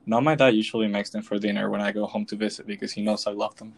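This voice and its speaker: Lowish-voiced young man reading a podcast script. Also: level tone, fast, vocal fry. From Venezuela. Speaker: podcast co-host.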